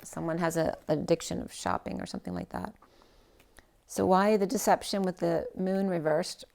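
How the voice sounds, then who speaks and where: A woman's voice: on the low side, moderate pace, creaky, darkish tone. A woman giving a casual tarot reading who is losing her voice. She thinks aloud, trails off and restarts her sentences. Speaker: tarot reader.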